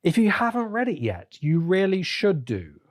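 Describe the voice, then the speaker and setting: A man hosting a solo podcast, giving opinionated commentary in deliberate, halting phrases with short bursts of emphasis. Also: sits high, huge pitch swings. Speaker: podcast host.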